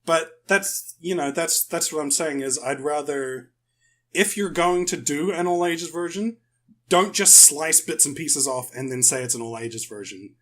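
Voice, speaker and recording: A man's voice: on the high side, unhurried, fairly bright tone. A man speaking as a podcast guest, explaining his views casually and rephrasing as he goes.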